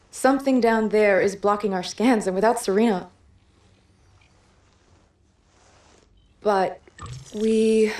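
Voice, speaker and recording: A woman acts an inquisitive female scientist in a sci-fi game cutscene. She is brisk and businesslike at a medium pitch, with clear noise behind her. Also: darkish tone, lightly acted. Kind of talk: video game cutscene acting.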